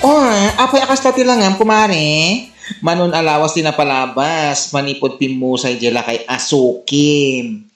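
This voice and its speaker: A woman's voice: low voice, unhurried, roomy sound, soft background music. A woman with an Ilocano Filipino accent playing a bossy, nosy gossip in a comedy drama, scolding and mocking. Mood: gossipy. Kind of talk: comedy radio drama acting.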